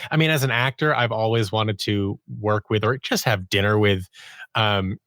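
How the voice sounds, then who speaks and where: A man's voice: fairly low pitch, normal speed, a bit bright, no echo, studio quality. A man who is an audio drama writer and actor, chatting casually as an interview guest and acting out little asides as he talks.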